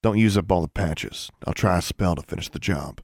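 Guy as tired older brother wizard in an audiobook, low voice, mild dry sarcasm, fed up. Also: a little fast, no echo. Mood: weary. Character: weary, exasperated older brother, dryly sarcastic young wizard.

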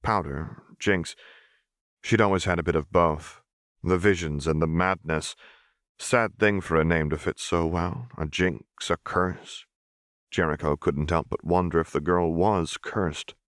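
A man reading fan fiction aloud in steady, even narration. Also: low-pitched, measured pace, dry recording, clean studio sound. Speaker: audiobook reader.

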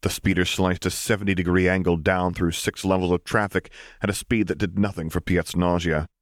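A man's voice: sits low, flat delivery, fairly quick, no room sound, clean studio sound. A man reading a story aloud as scripted audiobook narration.

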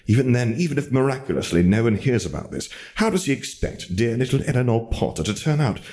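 A man voicing a bitter, arrogant dark wizard for an audiobook, soft yet commanding, ranting with scornful, precise words. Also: medium pitch, very animated, quick pace, pitched above the performer's own voice. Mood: furious, scornful. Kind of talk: audiobook character voice.

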